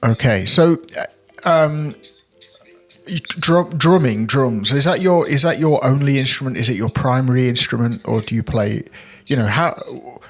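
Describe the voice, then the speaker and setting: A man's voice: medium pitch, a little slow, faint music behind. A man hosting a podcast interview from England, asking informal questions full of fillers and false starts. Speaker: podcast host.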